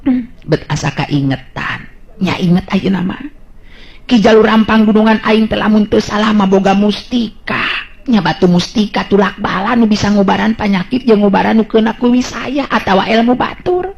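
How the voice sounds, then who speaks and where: A man's voice: high voice, normal speed, vocal fry, slightly rough, voice pushed up, full character voice. A man voicing a kindly, anxious older village woman in a Sundanese radio story, muttering hesitantly with repeated words. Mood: worried, pondering. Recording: dull lo-fi radio-style recording.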